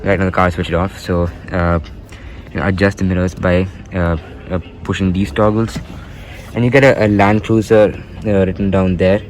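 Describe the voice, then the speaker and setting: A man's voice: low-pitched, level tone, normal speed, a little mellow, low-quality recording. A man giving a casual walkaround of a car interior, pointing out features with frequent "uh" fillers, recorded on a handheld phone inside a car in a showroom. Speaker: car reviewer.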